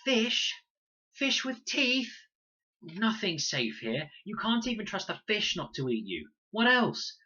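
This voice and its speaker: A sharp-tongued young girl from a storybook acted by a man, anxious and ferocious. The voice leaps high and swoops with incredulous indignation, over a slightly muffled recording. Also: huge pitch swings, takes it slowly, slightly rough, full character voice. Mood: indignant, incredulous.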